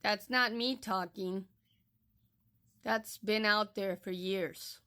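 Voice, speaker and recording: Slow, firm older woman from Texas. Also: mid-range voice, sharp tone. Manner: firm, admonishing, deliberate. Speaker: social media creator. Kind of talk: direct-to-camera monologue.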